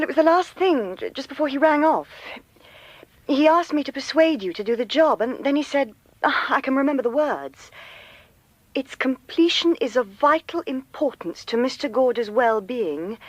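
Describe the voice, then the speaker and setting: An actress playing an anxious, loyal confidante in a radio drama, hesitant and concerned. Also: female voice, sits high, animated, normal speed, no echo, muffled recording. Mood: worried, hesitant.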